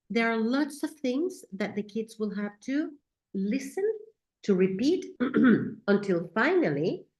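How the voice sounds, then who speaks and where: A woman's voice: medium pitch, darkish tone. Spanish-accented woman giving a teaching talk. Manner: repeats words, checks in with "right?" and "okay?". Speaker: teacher trainer. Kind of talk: educational talk. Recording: echoey room.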